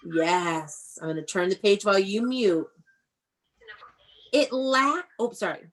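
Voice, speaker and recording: A woman's voice: medium pitch, lively intonation, takes it slowly, a bit bright. A woman teaching young children in an online read-aloud class, prompting them with questions and encouragement in an instructive way.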